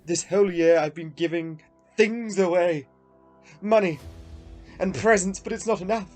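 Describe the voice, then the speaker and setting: A man acts out a repentant old grouch for a radio drama. His voice sits fairly high for a man and moves slowly, breaking into halting, emotional exclamations. Faint music plays behind him. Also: darkish tone. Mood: desperate, defiant. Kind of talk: radio play acting.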